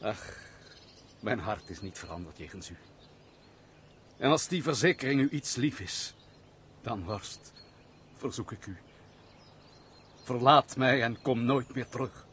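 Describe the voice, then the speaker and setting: An actor playing a wounded, gruff nobleman who shuns mankind, speaking formally and theatrically in a radio drama. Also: medium pitch, expressive, a little slow, long pauses, a bit bright, a little hiss or hum. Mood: bitter, weary. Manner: formal, theatrical, sorrowful storytelling. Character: bitter, wounded nobleman turned gruff reclusive misanthrope. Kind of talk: old radio drama acting.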